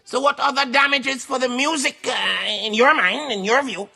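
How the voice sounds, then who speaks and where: Guy with super high sharp gravelly voice, quizzing someone on a radio show, disbelieving, music behind. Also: steady tone, moderate pace. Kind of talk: questioning interview banter.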